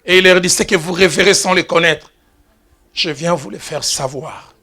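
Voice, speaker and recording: Bright, high-pitched preaching man. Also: normal speed. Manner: storytelling preacher, swings from hushed asides to loud exclamations. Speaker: pastor. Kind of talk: sermon. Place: church.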